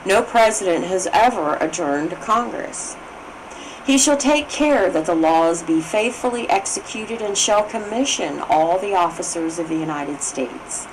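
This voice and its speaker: Female amateur reader, fairly low pitch, formal careful document read, noticeably noisy room recording. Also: animated, a little slow. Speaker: reader, state assembly coordinator. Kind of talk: formal read-aloud of legal text and commentary.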